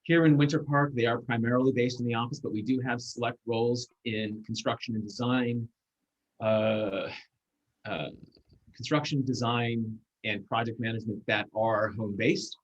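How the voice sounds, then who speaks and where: A man in his forties, a guest speaker, giving a measured, earnest talk over a video call, with fillers and restarts. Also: mid-range voice, steady tone, a little hiss or hum. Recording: muffled video call audio.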